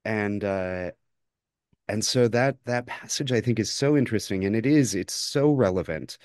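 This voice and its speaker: A man's voice: fairly low pitch, moderate pace, a little mellow, dry recording, studio quality. A man speaking as a podcast guest, reflective and earnest, repeating words as he thinks. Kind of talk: reflective personal interview talk.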